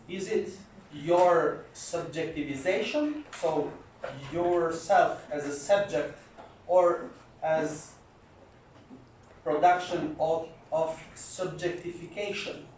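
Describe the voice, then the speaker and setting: A man from Albania asking a question from the audience at a conference, speaking slowly and searching for words. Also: fairly high pitch, a little mellow, a little hiss or hum. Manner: slow and searching. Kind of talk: slow, searching question from the floor. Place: conference hall. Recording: echoey hall, distant mic.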